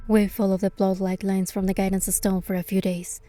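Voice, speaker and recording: A woman voicing a bold, curious young heroine, with expressive storytelling delivery in a fantasy game. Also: medium pitch, a little fast, faint music behind, natural voice. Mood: narrating, hushed wonder. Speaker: fan dub voice actor and narrator. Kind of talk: scripted first-person fantasy game narration and dialogue.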